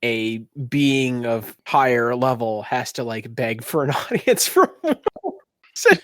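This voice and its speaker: A man's voice: medium pitch, expressive, slow, clean tone, no echo, studio quality. A man narrating as game master of a tabletop game, moving between scene description and casual banter.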